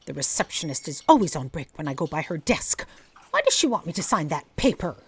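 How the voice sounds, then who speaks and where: A woman playing a haughty, demanding and suspicious editor-in-chief with a husky voice in an audio drama. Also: sits low, very animated, brisk, heavily acted. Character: imperious, suspicious female editor-in-chief boss.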